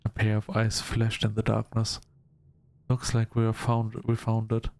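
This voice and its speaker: A man hosting a gaming video, reading story text aloud in a halting, measured storytelling delivery. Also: lowish voice, completely flat delivery, gravelly, darkish tone. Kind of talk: let's-play narration, reading game text aloud.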